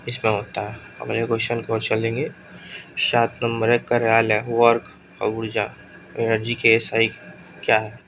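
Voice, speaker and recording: A man with a sore throat teaches an exam-prep quiz lesson in a gravelly voice. His pitch stays flat and level as he reads out questions and options. The recording carries clear background noise. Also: low-quality recording. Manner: brisk, urges viewers to answer quickly.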